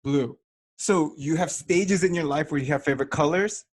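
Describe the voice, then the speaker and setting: A man's voice: fairly high pitch, moderate pace, slight background noise. A man hosting a podcast in a casual, chatty interview style, with a teasing, joking manner. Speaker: podcast host.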